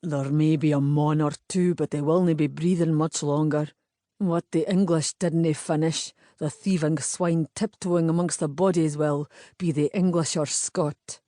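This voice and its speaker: A woman's voice: low voice, fairly even intonation, a little slow, dark tone, no echo, clean studio sound. A woman voicing a wounded, wary Scottish knight with a suspicious, snarling manner in an audiobook, with a Scottish accent. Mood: grim. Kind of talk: audiobook character voice.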